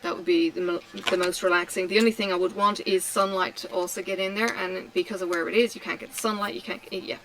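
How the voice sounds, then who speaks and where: A middle-aged woman from Tasmania chatting casually while she crafts, answering questions slowly with many ums and pauses. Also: fairly low pitch, steady tone, fairly bright tone, a little hiss or hum, rough recording. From Australia (Tasmania). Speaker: craft vlogger. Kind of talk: relaxed chat while crafting.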